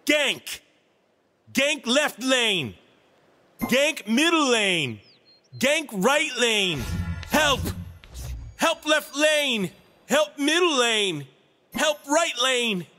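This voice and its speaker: A mellow hippie wizard from a video game, high for a male voice and swinging widely in pitch. He drawls slowly like a spaced-out surfer dude, with long reverb trailing the words. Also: exaggerated voice. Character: mellow hippie stoner wizard, laid-back nature lover. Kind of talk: video game character voice lines.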